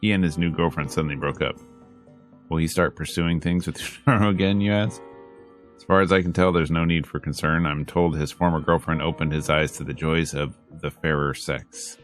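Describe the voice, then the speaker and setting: Very deep-voiced man reading over music. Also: fairly even intonation, fairly quick. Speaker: streamer reading a visual novel aloud. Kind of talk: dramatic read-aloud with joking asides. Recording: music behind the voice. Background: game music.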